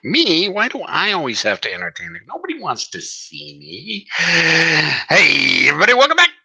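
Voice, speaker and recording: A man's voice: on the high side, wildly swooping pitch, normal speed, gravelly, pushed and bright, breathy edge. A man co-hosting a game stream, playful and self-deprecating, joking with his friend over a computer mic. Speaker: co-host and opponent. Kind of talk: casual chat, joking banter. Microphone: computer mic at his desk.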